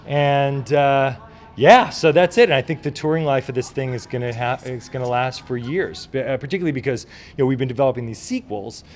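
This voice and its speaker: A man gives a casual, enthusiastic interview as a creative guest. His pitch sits in the middle of the male range and his phrasing is informal and conversational. There is noticeable ambient noise behind him. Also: fairly quick. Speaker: writer and producer, interview guest.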